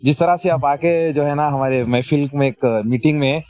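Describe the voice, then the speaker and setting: A man's voice: fairly high pitch, moderate pace, a little mellow, clean tone, no echo. A man, politely and deferentially thanking and making requests on a phone call. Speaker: community representative caller. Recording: dry lo-fi phone call recording.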